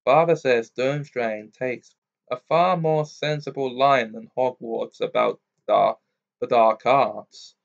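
A man's voice: mid-range voice, steady tone, slow pace, creaky, highs cut off. A haughty rich schoolboy from a storybook, played by a man. He talks in a pompous drawl, sneering and very pleased with his family. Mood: haughty, boastful.

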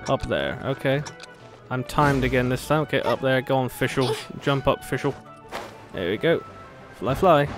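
A man giving casual gameplay commentary, with playful, sarcastic asides. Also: medium pitch. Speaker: gaming video host. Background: game music.

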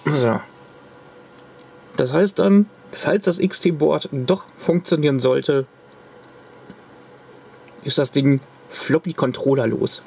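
A man's voice: fairly high pitch, takes it slowly, vocal fry, long pauses, a little mellow, husky. A man casually showing a corroded circuit card to the camera at his home workbench, rambling with restarts and fillers. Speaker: retro computer hobbyist. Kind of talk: casual show-and-tell vlog.

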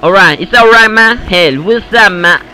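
High bright-voiced guy with an eastern european accent, slow and boastful, trolling in voice chat. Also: faint music behind, low-quality recording. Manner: broken English, boastful, trolling. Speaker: voice chat player. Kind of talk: prank call banter in game voice chat.

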